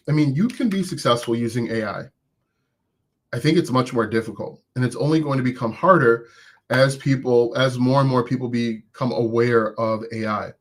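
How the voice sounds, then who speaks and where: A man coaching viewers live about online business, talking conversationally and encouragingly with frequent restarts, and saying he has an accent of his own. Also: mid-range voice. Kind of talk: live-stream coaching, answering chat.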